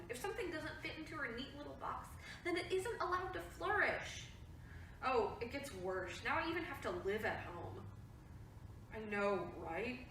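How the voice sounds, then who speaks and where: A woman plays a defiant young woman who is full of self-importance and sarcasm. Her voice is bright and high, and it rises and falls with drama. It is heard from across a very echoey room, with a faint hiss underneath. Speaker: actor in a staged reading. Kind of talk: virtual staged reading. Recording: echoey room, mic far off.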